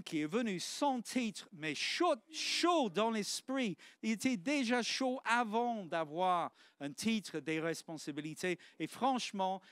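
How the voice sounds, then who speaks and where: A man's voice: high-pitched, fairly bright tone, clear, resonant tone, no echo. A man, preaching a sermon in church with earnest, exclamatory restarts. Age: 50s.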